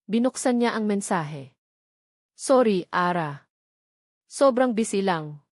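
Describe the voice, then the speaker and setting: A woman's voice: mid-range voice, darkish tone, no echo, studio quality. A woman reads a scripted romance story as its narrator, in a dramatic storytelling style.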